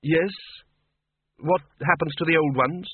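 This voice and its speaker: A blustering old male wizard in charge, acted out by a male reader, blunt and dogmatic, pitched up from a plain voice and husky. The sound is narrow, like a small radio, in a comic fantasy audiobook. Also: very animated, normal speed, dry recording, exaggerated voice. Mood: affronted. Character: gruff, bossy old male wizard, head of a college.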